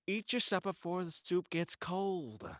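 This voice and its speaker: An actor playing a desperate, exasperated nephew in a melodramatic 1940s-style horror radio play. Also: male voice, sits high, moderate pace, no echo, muffled recording, close to an everyday voice. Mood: uneasy. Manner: pleading, indignant, melodramatic.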